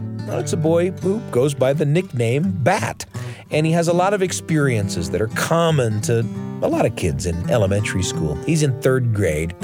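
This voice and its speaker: Animated male radio host. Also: medium pitch. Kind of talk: scripted radio narration. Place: studio.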